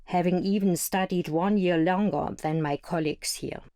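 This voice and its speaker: A woman's voice: lowish voice, normal speed, warm tone, dry recording, studio quality. A woman from East Germany giving a scripted first-person narration.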